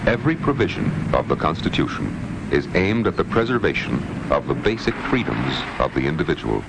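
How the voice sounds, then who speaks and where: A man narrates an old training film in an extremely deep voice with a gravelly edge. His scripted delivery is formal and authoritative, and the 1960s soundtrack is clearly noisy. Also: big pitch swings, fairly quick.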